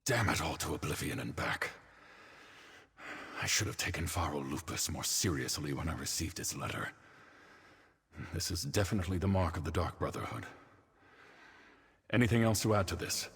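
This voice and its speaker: Grave, very deep-voiced councillor. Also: male voice, lively intonation, a bit bright, slightly rough, exaggerated voice. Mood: troubled. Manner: measured, official. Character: grave, authoritative male imperial councillor. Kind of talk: video game voice acting.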